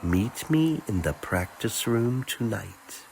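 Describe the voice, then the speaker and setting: A man voicing a secretive, protective young lover in a dramatic storybook reading, hushed and urgent. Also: on the low side, lively intonation, slow, creaky, faint music behind, exaggerated voice, rough recording.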